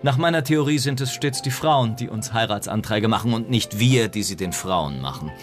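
An actor playing a witty, worldly aristocrat in a German radio drama, urbane and ironic, speaking in amused epigrams. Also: male voice, on the low side, normal speed, close to an everyday voice. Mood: cynical, amused. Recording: music playing behind the voice.

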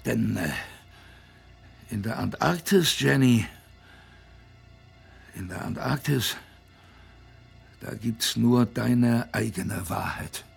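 An actor playing an old polar seaman and proud grandfather, telling his tale in a radio drama in a halting, reflective, emphatic way. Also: medium pitch, soft background music, heavily acted. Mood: reflective, grave. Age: older. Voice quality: gravelly, husky. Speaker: narrator in an audio drama.

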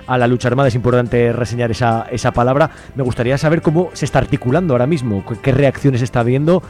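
A male radio interviewer with an accent from Spain talks quickly in a medium pitch. He asks long, conversational questions and keeps adding little tag questions. There is faint music in the background. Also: steady tone, a little mellow. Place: radio studio.

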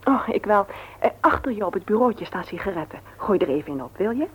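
A woman's voice: mid-range voice, sing-song, fairly quick, natural voice. An actress playing a candid, talkative widowed mother in a naturalistic radio play. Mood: lively, homely. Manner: talkative, confiding, forthright. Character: candid, chatty widowed mother, frank about her feelings. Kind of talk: naturalistic radio drama acting. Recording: muffled, noisy old radio play recording.

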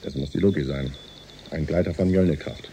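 Dutiful spaceship engineer, deep mellow voice, clipped reports, radio drama, faint noise behind. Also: male voice, fairly quick. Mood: businesslike. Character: uneasy spaceship engineer and navigation officer, subordinate. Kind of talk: German radio drama acting.

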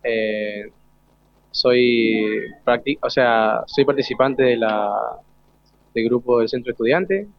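A man's voice: medium pitch, takes it slowly, gravelly. A young male student in his teens with an Argentine accent, chatting casually and modestly in colloquial speech as he is interviewed. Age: teenager. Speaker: student delegate being interviewed. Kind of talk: casual chat. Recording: dull, lo-fi radio sound.

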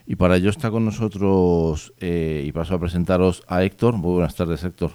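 Deep mellow-voiced radio host. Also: male voice, fairly even intonation, clear, resonant tone, no room sound. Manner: halting, many restarts and fillers. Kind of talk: radio interview. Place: radio studio.

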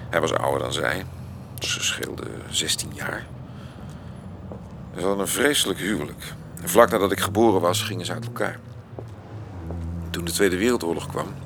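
Young astronomer in a radio drama, lowish voice, thoughtful and reserved, car noise behind. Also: male voice, a bit bright. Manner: halting, thoughtful, understated. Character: polite, reflective young male astronomer, candid and reserved. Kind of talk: radio play acting.

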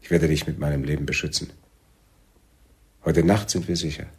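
Deep, husky, wary militant leader. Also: male voice, fairly even intonation, a little mellow, full character voice. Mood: tender, solemn. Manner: measured, probing questioning. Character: wary, soft-spoken male Palestinian militant leader, suspicious lover. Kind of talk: German radio play acting.

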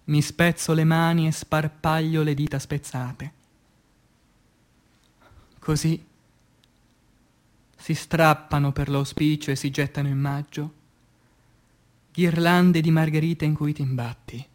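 A man reciting poetry as dramatic radio theatre, solemn and declamatory, breaking phrases with deliberate pauses. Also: on the high side, fairly even intonation.

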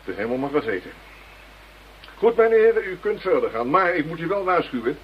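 An actor playing a skeptical senior police commissioner in a radio drama, brisk and dryly sarcastic. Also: male voice, fairly high pitch, big pitch swings, slight background noise. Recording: dull lo-fi radio drama recording.

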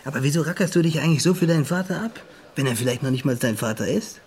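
An actor playing a streetwise, bossy young petty crook in a German radio crime drama, talking in brusque, needling slang. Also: male voice, on the high side, animated, very fast, darkish tone. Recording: music behind the voice.

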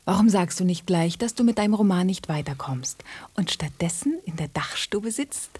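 An actress playing a sassy, vain, self-assured house cat in a children's radio play, with a pert, haughty manner. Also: female voice, on the low side, animated, moderate pace, warm tone, slightly rough, no echo. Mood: pert, smug.

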